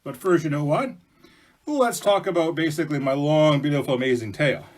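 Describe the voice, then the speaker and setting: A vain storybook bear, acted out by a male storyteller, full of pompous self-admiration. He pushes his voice up high and loud, gushing like a show-off. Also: brisk, close to an everyday voice. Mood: boastful.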